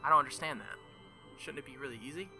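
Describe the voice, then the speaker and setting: A man's voice: medium pitch, fast talker, pushed and bright, faint music behind. A man voicing an earnest young knight girl from a visual novel who sounds puzzled. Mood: confused.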